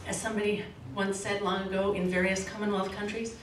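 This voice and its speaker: A woman in her forties, a novelist, reading her prose in a measured, expressive way at a podium, then answering questions in a halting, self-correcting manner. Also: mid-range voice. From grew up in Australia, Canada and the States. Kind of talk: literary reading, then casual Q&A. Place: small event room with a red curtain, indoors. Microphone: podium microphone, recorded from a far camera. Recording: echoey room, voice from a distance, faint hiss.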